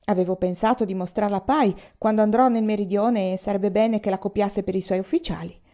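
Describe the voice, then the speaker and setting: A woman's voice: on the high side, fairly quick, dark tone, dull audio, pitched above the performer's own voice, lightly acted. A woman voicing a boastful old male general who talks categorically and whines like a complaining boy. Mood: excited. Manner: categorical, then whining like a boy.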